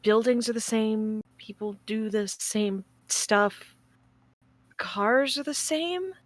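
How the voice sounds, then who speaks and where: Woman acting as a slow, naive, high-voiced space probe. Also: dry recording. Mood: bewildered. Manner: hesitant, halting, questioning. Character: naive, bewildered, newly awakened space probe. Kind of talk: table read of a script, audio drama acting.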